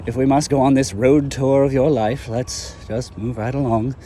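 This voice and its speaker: A man puts on a coldly formal villain for an audio drama. The voice drops lower, measured and dry, with weary condescension. A little noise sits behind it. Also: fairly even intonation, warm tone, close to an everyday voice. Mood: weary disbelief.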